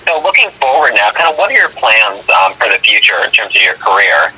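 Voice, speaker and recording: Sharp, husky male interviewer. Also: on the low side, very animated, fairly quick. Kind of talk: press phone interview questions. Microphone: telephone. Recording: phone line interview audio.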